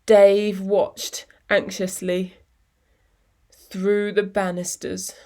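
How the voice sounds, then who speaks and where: A woman with a medium-pitched voice reads a picture book to young children. She takes it very slowly, leaving dramatic pauses between short phrases. Her delivery is expressive and deliberate, in the manner of storytime. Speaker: storytime reader.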